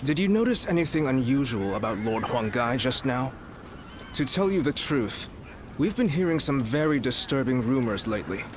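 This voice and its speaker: A man's voice: medium pitch, sing-song, background noise, close to an everyday voice. Formal, commanding man acting as a military strategist. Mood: grave, troubled. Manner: formal, measured, deliberate. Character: shrewd, commanding male military strategist of ancient China. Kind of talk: video game cutscene acting.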